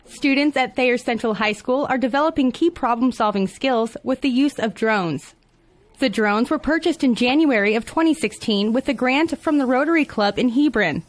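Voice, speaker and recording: A woman news reporter reading scripted local news stories in a measured, even delivery. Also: a little high.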